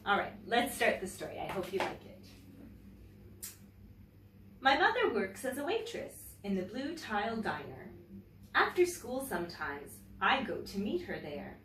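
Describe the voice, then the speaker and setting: A woman teacher reading a picture book aloud to young children, with expressive, deliberate phrasing and chatty asides to her listeners. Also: mid-range voice. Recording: roomy, distant mic, faint background noise.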